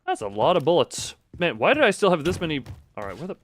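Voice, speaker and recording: A man's voice: a little high, huge pitch swings, fast talker, slight background noise. A man giving casual, rambling live commentary as he plays a video game, with jokey exclamatory asides. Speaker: live streamer playing a horror game.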